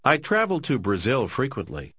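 A man narrating a scripted language lesson in a clear, measured way, recorded on a studio mic. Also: mid-range voice, wildly swooping pitch, bright, forceful tone, no echo. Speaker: course narrator.

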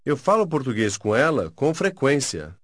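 Man modelling phrases slowly and carefully. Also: medium pitch, very animated, fairly bright tone, no echo, studio quality. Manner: slow, careful, syllable-by-syllable repetition. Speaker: native-speaker model in a language course. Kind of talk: scripted phrase drills.

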